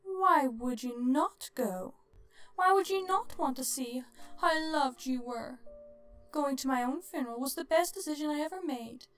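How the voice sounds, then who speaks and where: A woman's voice: high-pitched, voice pushed up, poor audio. Woman playing a taunting, theatrical, morbid ghost over music. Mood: smug, coaxing. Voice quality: groaning, creaky, cackling delivery. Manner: theatrical, drawn-out mock-sweet taunting. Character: gleefully morbid, taunting ghost haunting a hospital closet.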